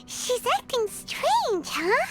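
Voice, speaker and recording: A woman voicing a tiny, excitable childlike fairy companion in a video game, chatty and expressive. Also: extremely high pitch, takes it slowly, noisy background, heavily acted. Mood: curious, puzzled. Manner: refers to herself by name, chatty, expressive. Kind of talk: English video game voice acting. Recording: loud game music behind.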